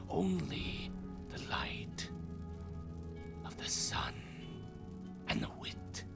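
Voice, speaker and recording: A woman playing a sly, mocking schemer in a fantasy audio drama, with theatrical, drawn-out delivery over music. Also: very deep voice, gravelly, heavily acted. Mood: sly, secretive. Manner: theatrical, drawn out, swooping from whispers to cries. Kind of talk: fantasy audio roleplay acting. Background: music behind the voice.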